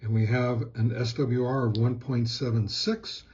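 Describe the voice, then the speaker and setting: Man, halting plain-spoken gear reviewer. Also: mid-range voice, steady tone, a little mellow, lo-fi sound. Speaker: host reviewing radio gear. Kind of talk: casual product test narration.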